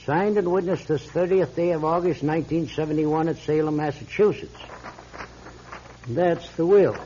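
An actor playing a polite, fussy lawyer in a classic radio drama, speaking formally and hesitantly. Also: male voice, on the high side, moderate pace, warm tone, a little hiss or hum, natural voice. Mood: formal, measured. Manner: formal, hesitant, throat-clearing. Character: polite, fussy male lawyer reading a will. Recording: dull lo-fi radio recording.

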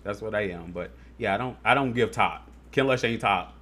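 A man's voice: on the low side, a little fast, a bit bright. A man co-hosting a podcast, talking casually with plenty of fillers and frequent laughter. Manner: chatty, laughs a lot, many fillers. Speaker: podcast co-host. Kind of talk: casual chat.

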